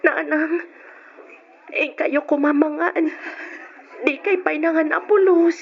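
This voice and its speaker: A woman playing a distraught, emotional young daughter in an old radio drama, with a shrill voice and an Ilocano Filipino accent. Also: steady tone, slow pace, soft background music. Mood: tearful. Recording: muffled old radio recording.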